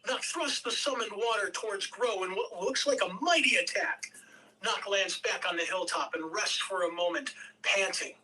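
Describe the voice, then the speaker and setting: A woman reading stage directions as narrator at a table read, measured, with occasional stumbles over words. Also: medium pitch, sharp tone, recorded from a distance, poor audio.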